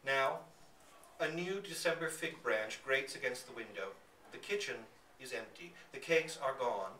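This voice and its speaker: A man giving an expressive, theatrical reading of a literary story aloud. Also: medium pitch, unhurried. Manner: theatrical, dramatic emphasis. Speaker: reader at a live literary reading. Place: indoor public reading in a bookstore. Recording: roomy, distant mic, faint background noise.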